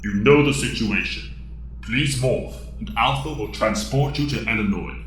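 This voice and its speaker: An actor playing a solemn, formal, commanding alien mentor in an audio drama. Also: male voice, medium pitch, fairly bright tone, lightly acted. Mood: solemn, urgent. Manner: formal, measured. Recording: echoey, distant, noisy.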